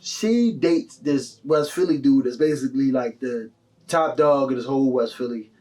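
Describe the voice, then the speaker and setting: A man's voice: mid-range voice, normal speed, recorded from a distance. Young Philly man telling a wild story. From Delaware County, Pennsylvania. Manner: animated, heavy slang, lots of fillers. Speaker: guest. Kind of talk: casual storytelling.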